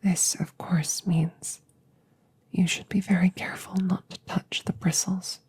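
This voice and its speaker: Woman acting a female android soldier, hushed and breathy, low flat pitch, slow formal delivery, stoic, polite, quietly grieving, ASMR roleplay. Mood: calm, instructive. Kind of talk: whispered roleplay ASMR audio drama.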